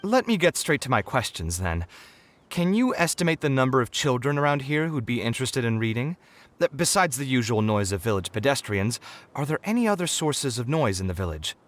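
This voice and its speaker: An actor voicing a young male architect in a game, earnest and meticulous, with brisk businesslike planning talk. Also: mid-range voice, big pitch swings, lightly acted. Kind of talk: game voice acting. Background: game music.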